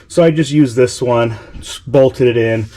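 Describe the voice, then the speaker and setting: A man's voice: mid-range voice, measured pace, mellow. A man giving a casual, enthusiastic hands-on product walkthrough, speaking informally and a little hesitantly into an action camera. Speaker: vlogger demonstrating vehicle radio gear. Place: workshop, in and around a side-by-side vehicle. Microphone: handheld GoPro action camera.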